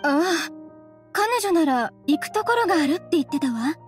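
A woman's voice: sits high, sing-song, normal speed. A woman playing a polite, helpful townswoman in an anime game, matter-of-fact in manner. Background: faint game music.

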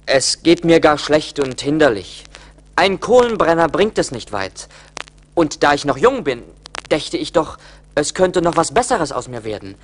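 A young, humble charcoal burner in a 1980s radio play. His voice is on the high side and very animated. He speaks politely, earnest and a little plaintive. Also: unhurried. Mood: humble, pleading. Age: young adult.

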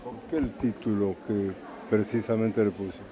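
A man asking interview questions in a halting, probing manner. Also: fairly low pitch, steady tone. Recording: crowd murmur behind. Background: crowd.

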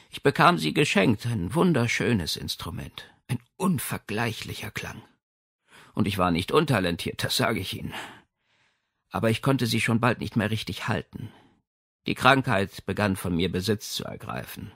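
A man's voice: on the low side, big pitch swings, moderate pace, vocal fry, a bit bright, husky, dry recording, exaggerated voice. Man as haughty signora, audiobook character, condescending, commanding, close dry sound. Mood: wistful, bitter.

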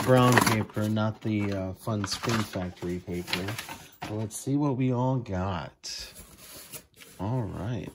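A man with a very low voice talks casually over a tabletop unboxing. He sounds keen and chatty, filling pauses with small ums and uhs. A faint hiss sits under the recording. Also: creaky. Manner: enthusiastic, frequent fillers. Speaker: video host. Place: indoors at a table, off camera.

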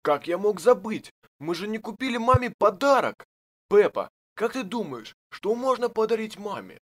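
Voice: mid-range voice, moderate pace. Cartoon father pig actor voicing: absent-minded, easygoing, hesitant, with swooping intonation. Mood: musing. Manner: hesitant, musing, expressive. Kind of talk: children's cartoon dubbing.

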